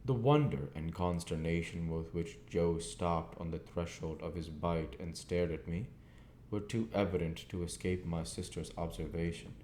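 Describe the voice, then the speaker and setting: A man narrating a classic novel as an audiobook reader, deliberately and in short phrases. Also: low voice, dark tone. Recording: echoey room with faint background noise.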